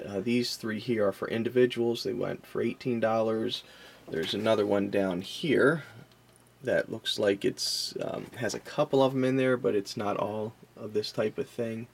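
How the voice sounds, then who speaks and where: A man with a lowish, creaky voice that is noticeably rough and husky. He talks casually and without a script, like a vlogger who sells collectibles. His manner is folksy and self-deprecating, and he tends to ramble off topic. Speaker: vlogger and online reseller of vintage collectibles. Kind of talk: casual, rambling unscripted vlog talk.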